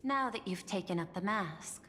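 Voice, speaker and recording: A woman's voice: medium pitch, natural voice. Reverberant, composed android executive voiced by a woman. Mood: cool, measured. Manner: measured, formal, deadpan. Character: composed calculating female android executive, coolly polite. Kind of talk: video game voice acting. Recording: long reverb, music behind.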